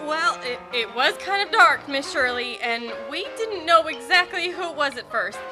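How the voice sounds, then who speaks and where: A woman's voice: high voice, lively intonation, normal speed. A woman playing a cautious, worried young boy in an amateur Western radio play, hesitant and anxious. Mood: apologetic. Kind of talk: amateur old-time radio play acting. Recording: music behind the voice.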